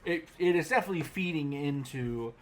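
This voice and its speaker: A man's voice: a little high, brisk, slight background noise. A man co-hosting a podcast in an informal, chatty style, acting out reactions as he talks. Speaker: podcast co-host. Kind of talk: casual chat about anime.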